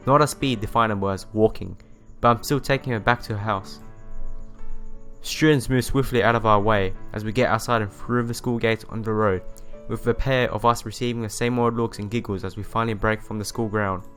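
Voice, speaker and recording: A man with a fairly low voice reads a visual novel's narration aloud for a let's play. He tells it as a story, now and then tripping on the text, over background music. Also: fairly even intonation, fairly quick, fairly bright tone. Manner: stumbles over text and rereads phrases. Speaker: let's player.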